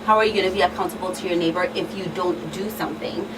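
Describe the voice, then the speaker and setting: A woman's voice: on the low side, fairly even intonation, racing. A woman speaking as a guest expert in a talk show discussion, earnest and emphatic, often repeating her phrasing for weight. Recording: distant mic, clear background noise.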